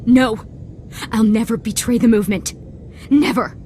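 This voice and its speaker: Lady doing stubborn sick rebel woman in a game, sorta high strained voice, faint rumble behind. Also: moderate pace, mellow, natural voice. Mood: defiant. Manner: strained, resistant, emotional. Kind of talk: video game dialogue acting.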